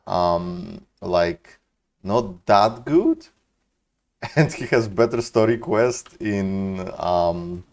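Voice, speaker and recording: A young man livestreams a game with casual, joking commentary. His voice is low and he takes his time, with faint music behind him. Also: a little mellow. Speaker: game streamer.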